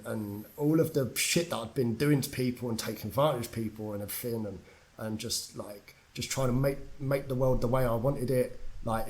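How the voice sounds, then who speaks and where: A young man from England tells his story as a podcast guest, candid and loose. He swears often and fills his sentences with "like" and "um", at a middle pitch. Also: a little mellow, poor audio. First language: English. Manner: candid, swears freely, lots of fillers. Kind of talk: casual storytelling.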